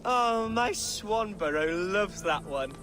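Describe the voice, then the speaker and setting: A man's voice: sits high, lively intonation, slow, sharp tone, a little hiss or hum, exaggerated voice. An actor playing an earnest, tipsy young Saxon nobleman, eager and wordy in a dramatic video game style. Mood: tipsy, amused. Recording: music behind the voice.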